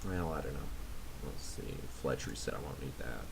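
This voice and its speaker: A man giving casual, low-key video game commentary, thinking aloud as he plays. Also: animated, a little fast, vocal fry. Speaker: let's play host. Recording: noisy mic with keyboard clicks.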